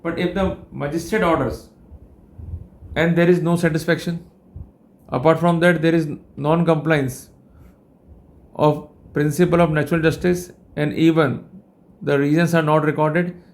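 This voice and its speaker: A man with an Indian accent giving a legal lecture in a formal, wordy, didactic way. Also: on the high side. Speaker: legal educator and host.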